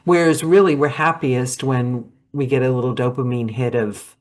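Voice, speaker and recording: A woman hosting a casual podcast chat, rambling and animated, laughing often. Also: extremely low pitch, normal speed. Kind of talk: casual video-call chat.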